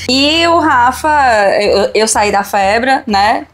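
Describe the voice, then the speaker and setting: A woman's voice: on the high side, animated, takes it slowly, fairly bright tone. A woman hosting a casual podcast chat in an informal, teasing manner. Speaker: podcast host. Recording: music playing behind the voice. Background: music.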